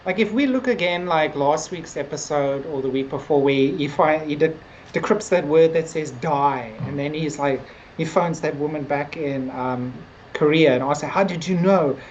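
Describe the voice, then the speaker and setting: A woman's voice: low voice, moderate pace, a little mellow. A woman co-hosting a casual review chat, talking in a loose, rambling way. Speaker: discussion show co-host. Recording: dull lo-fi sound, noisy background.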